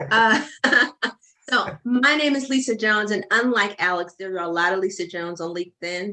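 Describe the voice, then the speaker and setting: A woman from Pennsylvania joins as a podcast guest, her voice bright and a little tense. She talks in a friendly way with small hesitations and fillers. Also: medium pitch. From Pennsylvania, USA. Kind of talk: conversational interview.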